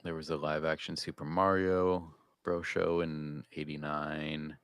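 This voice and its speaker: A man's voice: extremely low pitch, little pitch movement, unhurried, a bit bright, clean tone, no echo. A man, chatting casually as a podcast co-host in a laid-back, matter-of-fact way. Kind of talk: casual chat.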